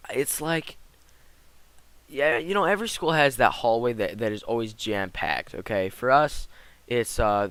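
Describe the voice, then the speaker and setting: A man's voice: on the low side, a bit bright, clean tone, no echo. Rambling teenage boy with a cold. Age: teens. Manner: rambling, frequent "like" and "um" fillers. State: slightly hoarse from a cold. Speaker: gaming commentator. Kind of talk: casual commentary over gameplay.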